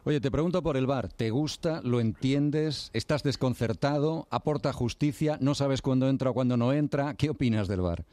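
Un hombre con acento de España que entrevista sobre deporte en tono familiar y directo, encadenando preguntas. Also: male voice, medium pitch, normal speed, few pauses, warm tone, no echo. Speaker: sports radio interviewer. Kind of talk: conversational sports interview.

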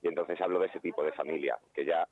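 A man from Spain speaks as a guest in a relaxed radio interview, thinking aloud and restarting his sentences as he explains. His voice is very low. He comes through a thin, phone-like line. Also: fast. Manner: thoughtful, with many restarts. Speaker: playwright and actor guest.

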